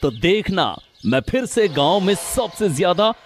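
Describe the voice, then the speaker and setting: A man playing a jealous, hot-tempered, greedy village villain in an animated moral story, menacing and boastful. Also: high voice, a little slow, faint music behind. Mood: angry, threatening.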